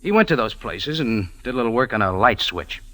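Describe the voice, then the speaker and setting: A man's voice: medium pitch, animated, brisk, fairly bright tone, no room sound, close to an everyday voice. A man playing a tough, wry cafe owner and amateur sleuth in an old radio drama, telling it in a clipped, dry, hard-boiled way. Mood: cool, explaining. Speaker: lead actor and first-person narrator in a radio drama. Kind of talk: 1940s-50s hard-boiled radio detective acting. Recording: old radio recording with music behind.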